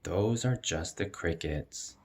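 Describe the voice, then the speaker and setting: Man as a matter-of-fact big brother cat, husky voice. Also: sits low, takes it slowly, heavily acted.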